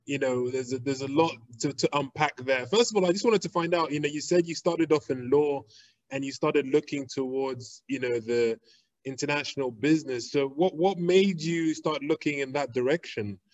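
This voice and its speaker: A young man hosting a podcast interview over a video call, talking in a relaxed, conversational way. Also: mid-range voice, moderate pace, dry recording. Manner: relaxed, conversational, with "you know" fillers. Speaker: podcast host. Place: indoor room with a plain wall. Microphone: video call webcam. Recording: dull dry webcam audio.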